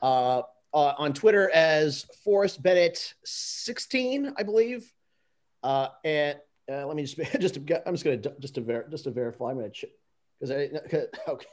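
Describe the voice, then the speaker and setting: Halting man, slightly high voice. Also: expressive. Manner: halting, repeats words. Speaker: guest. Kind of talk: casual chat.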